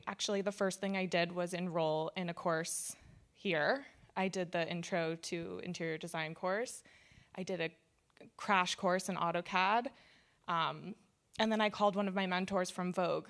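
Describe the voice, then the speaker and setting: Woman designer chatting on a panel, lots of vocal fry, casual and a bit rambly. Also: medium pitch. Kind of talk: conversational career story at a panel talk.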